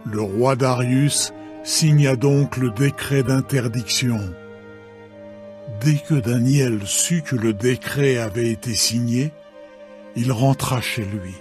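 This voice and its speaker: A man narrating a dramatised scripture reading in a measured, solemn manner. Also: mid-range voice, a little mellow. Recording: background music.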